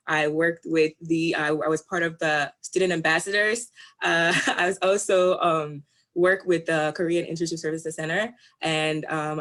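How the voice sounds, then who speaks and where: A young woman from Ghana speaks in a low voice, with many pauses and fillers. She sounds earnest and a little halting as she introduces herself on a panel. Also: fairly bright tone. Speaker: student panelist.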